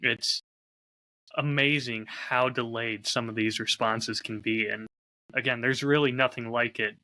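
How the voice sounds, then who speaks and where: A man with a very bright, crisp-edged voice at a middling pitch hosts a casual podcast. He speaks reflectively and candidly, laying out his thoughts like someone used to telling stories. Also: fairly even intonation, unhurried, poor audio. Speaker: podcast host and audio drama creator.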